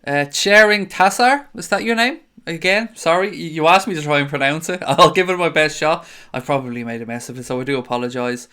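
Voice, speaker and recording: A man's voice: a little high, brisk, sharp tone, clear, resonant tone. A man hosting a casual live stream, chatting in a friendly way and greeting viewers by name. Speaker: host of a fan live stream. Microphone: desk microphone.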